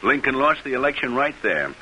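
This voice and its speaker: An actor playing a senator's frank political confidant in an old radio drama, speaking in a plain, matter-of-fact way. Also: male voice, medium pitch, sing-song, a little fast, pushed and bright, rough recording. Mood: frank, congratulatory. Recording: hissy, clicking old radio recording.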